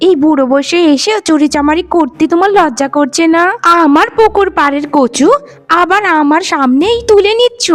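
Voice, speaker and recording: A woman voicing a spiteful, bossy village woman in a cartoon, taunting, scolding and threatening. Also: very high voice. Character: spiteful, bossy younger village woman guarding her pond. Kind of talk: cartoon voice acting.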